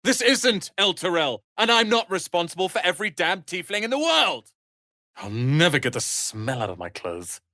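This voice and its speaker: A man voicing a proud, sharp-tongued young tiefling wizard's apprentice in a video game, with haughty, theatrical, biting delivery. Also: sits high, big pitch swings, normal speed, no echo, clean studio sound, heavily acted. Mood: bitter, scornful. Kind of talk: dramatic video game voice acting.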